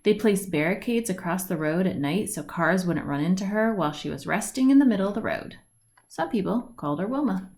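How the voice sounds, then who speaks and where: A woman reading a children's picture book aloud, with deliberate phrasing, stretched words and lilting lists. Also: medium pitch, animated, darkish tone. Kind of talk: read-aloud storybook narration. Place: indoors at home, at a wooden table by a window.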